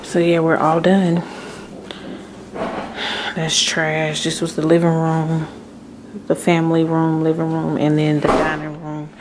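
A woman's voice: sits low, monotone, a little slow, pauses often, a little hiss or hum, rough recording. Chatty woman touring an empty house. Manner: chatty, relieved, addresses viewers as guys and y'all. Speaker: vlogger. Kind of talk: casual house tour vlog. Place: empty house, rooms and basement. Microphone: handheld phone camera.

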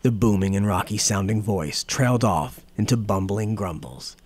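A man narrating a scripted dark fantasy audiobook in a solemn, dramatic storytelling manner. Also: lowish voice, normal speed, a little hiss or hum.

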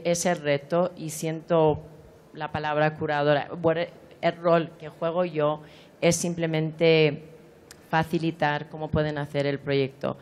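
A woman in her forties speaks on a panel in a large hall, her voice low and thoughtful as she circles her point with restarts and fillers. She talks into a handheld microphone while a faint hum of the room sits behind her. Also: darkish tone. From Iran. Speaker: curator on a panel. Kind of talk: panel discussion answer. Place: large hall at an art fair.

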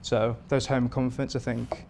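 A man in his thirties, a performance chef from England, answering interview questions in a relaxed, rambling way with many fillers, in a home kitchen. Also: medium pitch, unhurried, creaky, a little hiss or hum. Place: modern home kitchen indoors.

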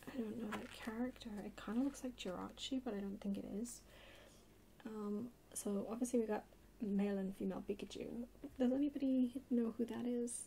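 Female ASMR haul host, hushed and fry-edged, dropping into whispers, faint noise on a home recording. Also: on the high side, fairly even intonation, brisk. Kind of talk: soft-spoken ASMR haul chat. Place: indoors at a table. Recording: noisy close home recording.

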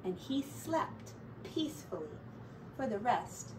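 Slow, expressive woman storyteller. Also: a little high. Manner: measured, dramatic. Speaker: teacher reading aloud. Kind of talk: expressive children's storybook narration. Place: small attic-style bedroom, indoors. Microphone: distant camera mic. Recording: roomy, distant mic, faint noise.

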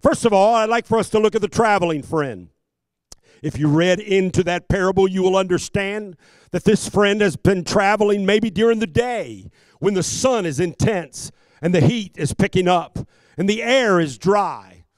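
High-pitched male preacher, dry close sound. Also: no echo. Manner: emphatic, deliberate repetition and rhetorical questions. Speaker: pastor, preacher. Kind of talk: sermon. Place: church sanctuary stage at a pulpit. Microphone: handheld microphone held close.

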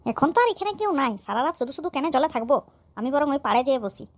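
A woman's voice: high-pitched, sing-song, rapid-fire. A woman voicing a whiny hapless bridegroom. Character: hapless young village bridegroom.

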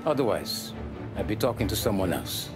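An actor playing a calm, authoritative middle-aged mob consigliere in a video game, speaking. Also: male voice, on the low side, close to an everyday voice. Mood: firm. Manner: measured, authoritative. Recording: game dialogue over music.

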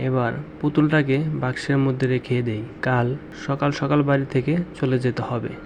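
A man's voice: mid-range voice, fairly even intonation, moderate pace, background noise, natural voice. A man playing a wronged, proud and brooding young orphaned nephew in a cartoon story. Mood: brooding, resigned. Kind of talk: cartoon story dialogue and first-person narration.

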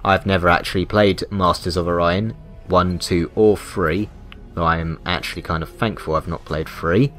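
A man's voice: low-pitched, fairly even intonation, moderate pace, fairly bright tone. A British man giving rambling, unscripted video game commentary with a wry, mock-indignant manner. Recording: music behind the voice. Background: background music.

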